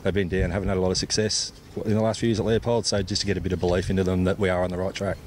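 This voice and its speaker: A man's voice: deep voice, little pitch movement, rapid-fire, a little mellow. An Australian man, a cricket captain, giving a colloquial, laid-back sports interview outdoors. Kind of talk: post-play sports interview. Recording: clear background noise, outdoor ambience.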